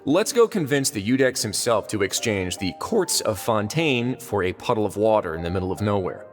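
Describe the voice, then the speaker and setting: An actor playing a wry, composed prison warden in a video game, sardonic and politely formal. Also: male voice, fairly low pitch, big-room sound. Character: wry, composed adult male prison warden with dry humour.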